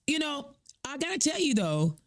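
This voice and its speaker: A woman's voice: high-pitched, sing-song, normal speed. Woman host teasing her guest, voice jumps all over, laughs a lot. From Indiana. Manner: playful, teasing, laughs easily. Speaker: podcast host. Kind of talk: casual interview chat.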